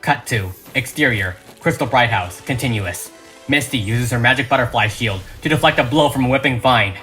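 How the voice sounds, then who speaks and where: A man's voice: mid-range voice, moderate pace, pushed and bright. A man reading a script as dramatic narration, with emphatic, suspenseful delivery. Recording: music behind the voice.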